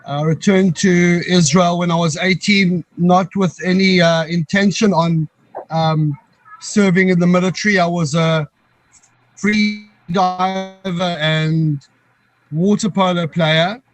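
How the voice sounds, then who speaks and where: A middle-aged man from Africa, answering an interview in a halting, reflective way that often pauses to find words. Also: sits high, fairly even intonation, no echo, soft background music, low-quality recording. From South Africa. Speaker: guest, co-founder of a veterans paddling program.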